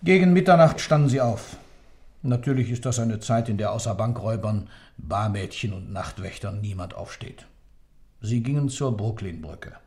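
An actor playing an intense, obsessive accused man in a radio play, insistent and fervent, swinging from loud to hushed. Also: on the low side, expressive, normal speed, lightly acted. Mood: brooding, emphatic. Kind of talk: German radio play acting.

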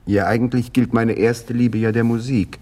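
An actor playing a vain, touchy, antisemitic young painter in a theatrical radio play, speaking in a pompous, defensive way. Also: male voice, mid-range voice, flat delivery, normal speed, darkish tone, natural voice. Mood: proudly showing off.

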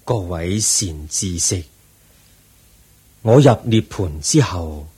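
An actor playing the aged Buddha in a radio drama, a serene and patient teacher speaking solemn Hong Kong Cantonese in a husky voice. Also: male voice, sits low, expressive, mellow. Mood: firm, instructive. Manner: solemn, measured teaching delivery.

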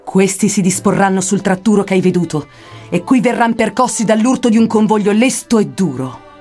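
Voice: mid-range voice, animated, brisk, a little mellow, close to an everyday voice. A grave, wise guide voiced by a reciter declaims prophetic old verse in a radio poetry drama. The tone is solemn and oracular, with light music beneath the voice.

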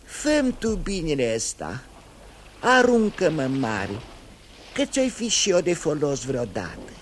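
An actor voicing a tiny, sun-weakened crab emperor who speaks in a frail, pleading way in a 1960s radio drama. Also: male voice, on the high side, wildly swooping pitch, a little hiss or hum.

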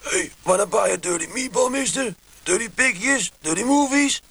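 A seedy, wheedling street hawker from a radio play. The voice is pitched high and crackles with creak. He pushes his wares through a very noisy recording. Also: expressive, moderate pace. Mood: wheedling, pushy. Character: seedy, pushy street peddler of dirty goods.

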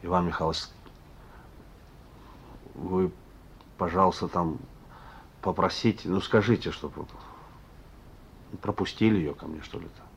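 A man's voice: low-pitched, steady tone, a little slow, vocal fry, long pauses, slight background noise. A male actor in a radio play as a sullen, wry young thief, curt and slangy, on a muffled recording. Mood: halting, pleading. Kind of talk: Russian radio play acting.

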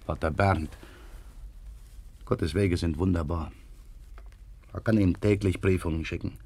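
A meek pious villager in a radio drama, low gravelly voice, halting and subdued. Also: male voice, steady tone, mellow, exaggerated voice. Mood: sorrowful, gentle. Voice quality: husky, creaky. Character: pious, gentle, hesitant village man, humbly forgiving. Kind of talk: naturalistic German radio play acting.

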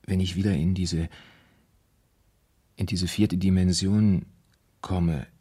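Husky, anxious, low-voiced man. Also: steady tone, dark tone, full character voice. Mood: anxious, tormented. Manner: hesitant, halting, repeating himself. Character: anxious, troubled man confiding a dreadful fear. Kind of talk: German radio crime drama acting.

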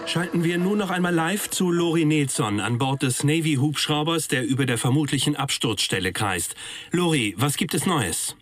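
An actor as a serious male news anchor in a radio drama. His delivery is formal, composed and brisk, in a fairly high male voice. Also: expressive, music in the background, close to an everyday voice.

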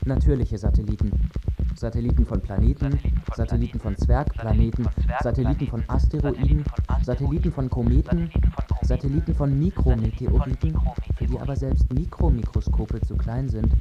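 An actor playing the lecturing narrator of an educational film clip in a radio play, reciting in measured lists. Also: male voice, mid-range voice, close to an everyday voice. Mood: instructive. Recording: dull sound under loud music.

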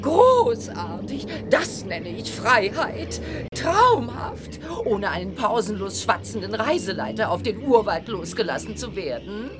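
A woman's voice: mid-range voice, sing-song, unhurried, over music. A woman playing a gushing, adventurous female reporter, breezy and theatrical, in a children's audio drama. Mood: delighted.

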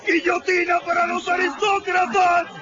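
High, shouting angry mob man. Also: flat delivery, moderate pace. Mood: jeering, furious. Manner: shouting, taunting. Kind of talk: Spanish-language radio drama acting. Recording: dull, noisy recording amid crowd.

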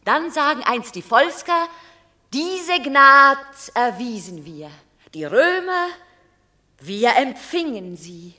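A woman's voice: sits high, big pitch swings, slow, pushed and bright, exaggerated voice. An actress playing a noble Roman mother in a classical verse radio drama, grave, formal and imploring but unyielding. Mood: insistent appeal.

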